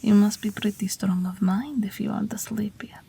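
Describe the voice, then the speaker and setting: A woman playing a gentle witch aviator in an audio roleplay, speaking in a soothing, breathy whisper as if at a bedside. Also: mid-range voice, steady tone, normal speed, a little hiss or hum, lightly acted. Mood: tender, soothing. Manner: soft, soothing, hesitant, with gentle amusement. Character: gentle night witch aviator, old but youthful, soothing a patient. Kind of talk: whispered audio roleplay, intimate bedtime monologue.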